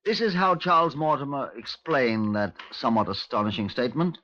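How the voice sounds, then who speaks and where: An actor playing a dry, authoritative middle-aged Scotland Yard inspector with a British accent in a 1950s radio drama. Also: male voice, medium pitch, brisk, loud music behind the voice, close to an everyday voice. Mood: measured, formal. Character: measured, wry, authoritative male Scotland Yard police inspector. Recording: dull, lo-fi 1950s radio recording.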